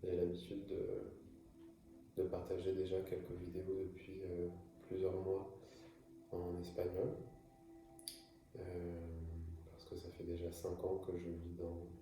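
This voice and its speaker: A man's voice: low voice, monotone, takes it slowly, pauses often, distant mic, background noise. A man from France speaking alone to camera in an improvised, hesitant monologue, with frequent fillers and restarts. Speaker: video creator. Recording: echoey room, voice from afar.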